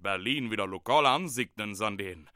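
An actor playing a pompous, self-satisfied German occupation commandant who sprinkles in German words, in old-time radio comedy style. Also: male voice, lowish voice, lively intonation, close to an everyday voice. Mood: grandly enthusiastic.

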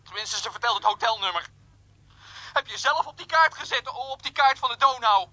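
A jilted man comes through a telephone filter, high and piercingly bright. He is jealous and petulant, barking demands and stumbling over his own repetitions. It is a radio play with a dry, close sound. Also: lively intonation, brisk, no room sound, full character voice. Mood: petulant, defiant. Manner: demanding, stammering, repeating himself.

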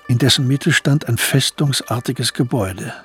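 A man narrating a scripted German radio drama in a measured, literary storytelling manner. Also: medium pitch, fairly bright tone, soft background music.

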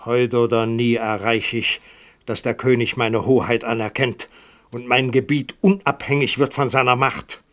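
A man's voice: mid-range voice, level tone, moderate pace, fairly bright tone, natural voice. A man playing a scheming insistent duke. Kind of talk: 1970s radio drama acting.

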